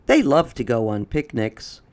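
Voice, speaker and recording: Slow, animated picture-book storyteller. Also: medium pitch. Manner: deliberate, expressive storytelling. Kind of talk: scripted narration of a children's picture book.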